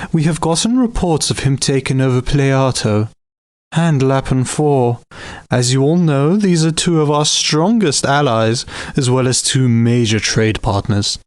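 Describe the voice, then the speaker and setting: A man's voice: medium pitch, no room sound, natural voice. An actor playing an agreeable, deferential council nobleman in an audio drama, speaking formally and obligingly. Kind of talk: scripted audio drama acting.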